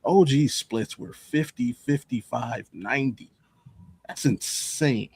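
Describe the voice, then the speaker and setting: A man's voice: medium pitch, takes it slowly, darkish tone, husky, dry recording. A man on a sports talk panel, casually reasoning through his opinions off the cuff in an analytical way.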